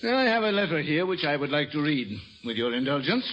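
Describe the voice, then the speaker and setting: An actor playing a formal, earnest college dean in an old-time radio drama, speaking in a pompous, declamatory way. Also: male voice, fairly high pitch, very animated, a little fast, a bit bright. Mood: formal, grave. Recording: muffled, slightly noisy old radio recording.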